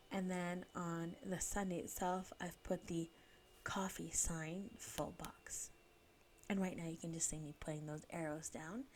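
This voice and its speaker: Woman, thirties, sniffly, casual chatty narration, laughs a lot, slightly low voice. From United Kingdom. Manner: chatty, laughs often, self-deprecating. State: sniffly from a cold. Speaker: planner vlogger.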